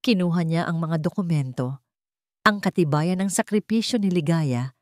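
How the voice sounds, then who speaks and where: Woman narrator, low voice, very dry close sound, reading a drama story. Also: animated, normal speed, no echo.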